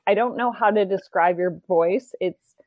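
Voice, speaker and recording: A woman's voice: medium pitch, moderate pace, dry recording, phone-quality sound. A woman in her forties, a podcast guest, talking reflectively in a casual interview chat.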